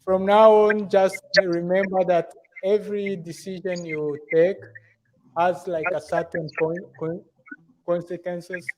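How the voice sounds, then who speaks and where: A man's voice: high voice, little pitch movement, slow pace, a little mellow, rough recording. A man hosting a casual fitness live stream as a coach, talking in a conversational way. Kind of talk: casual chat.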